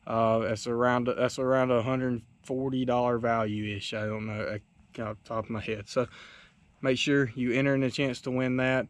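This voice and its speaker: A man's voice: mid-range voice, flat delivery, moderate pace. A young man, rambling casually to his viewers with many fillers, recorded outdoors on a camera held at arm's length. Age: young adult. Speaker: outdoors channel host. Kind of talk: casual vlog outro. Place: outdoors in a dirt field by trees.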